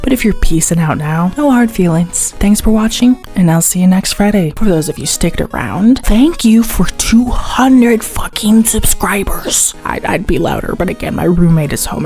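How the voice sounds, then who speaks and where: A woman's voice: lowish voice, a little mellow. A woman narrating a scripted video essay in a wry, informal, slangy way, with casual swearing. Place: at home, indoors. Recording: faint music behind. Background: faint music.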